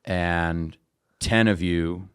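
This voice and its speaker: A man's voice: sits low, steady tone, extremely slow, clear, resonant tone, dry recording, studio quality. A man telling stories casually as an interview guest, in a laid-back, conversational way. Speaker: interview guest, singer and musician.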